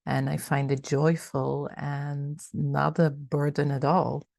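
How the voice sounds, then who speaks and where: A woman's voice: low voice, flat delivery, slow pace, gravelly, darkish tone, dry recording, studio quality. A middle-aged woman from Belgium, a coach and company founder, speaking reflectively as an interview guest with fillers and restarts. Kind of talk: casual interview talk.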